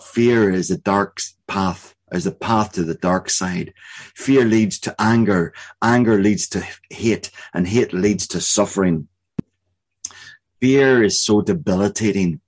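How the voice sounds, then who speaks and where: A man preaching a sermon, earnest and halting, with many restarts, slowing down to deliver what he hears as a word from God. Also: fairly low pitch. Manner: slow, emphatic delivery of spoken prophecy. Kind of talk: sermon with prophetic words.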